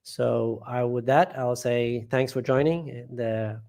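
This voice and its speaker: A man's voice: mid-range voice, steady tone, slow pace, vocal fry, mellow. A man hosting a casual live stream from home, reading viewer comments aloud and rambling, often trailing off and restarting.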